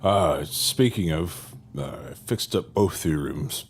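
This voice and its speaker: Deep, slow, theatrical actor as a effusive man. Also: expressive, slight background noise. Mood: genial host. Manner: flamboyant, wordy, old-fashioned turns of phrase. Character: effusive, theatrical long-lost brother welcoming siblings to a mansion. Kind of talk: audio drama acting.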